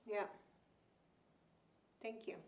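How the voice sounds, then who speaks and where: A woman's voice: extremely slow, slight background noise. A woman moderating audience questions, speaking briefly with hesitant fillers. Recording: echoey room.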